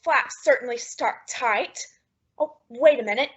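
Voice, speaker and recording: A woman playing a dramatic, scheming housewife in an old-time radio sitcom, with exaggerated, drawn-out comic emphasis. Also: a little high, fairly bright tone. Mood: nosy, curious. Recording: dull lo-fi old radio recording.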